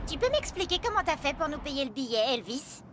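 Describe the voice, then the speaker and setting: A woman's voice: high voice, big pitch swings, brisk, a bit bright, slight background noise. A woman voicing a nervous, sensible young child in a French cartoon, hesitant and questioning. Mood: wary, hesitant.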